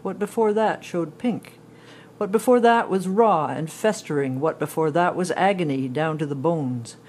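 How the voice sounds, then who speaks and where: A woman reading poetry aloud with deliberate, expressive phrasing. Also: low voice, slight background noise. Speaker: poetry reader.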